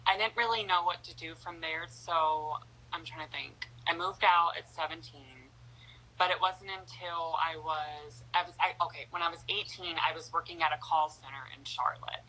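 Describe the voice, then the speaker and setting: A woman's voice: low-pitched, steady tone, normal speed, sharp tone, low-quality recording. A young woman, a guest in a casual interview, who talks freely and fills her speech with "like" and "you know". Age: young adult. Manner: chatty, fillers like "like" and "you know", laughs easily.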